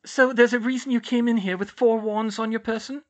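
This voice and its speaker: A woman's voice: a little high, steady tone, a little fast, voice pushed up, lightly acted. A woman voicing a strict but caring, sardonic female school healer in a storybook. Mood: skeptical.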